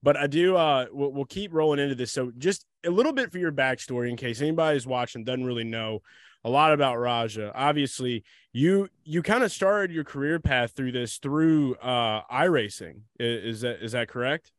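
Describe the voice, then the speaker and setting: A man's voice: medium pitch, moderate pace, dry recording. American man hosting, close dry sound. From New Orleans area, United States. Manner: informal, slangy, rambling phrasing. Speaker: podcast host. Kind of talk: casual chat.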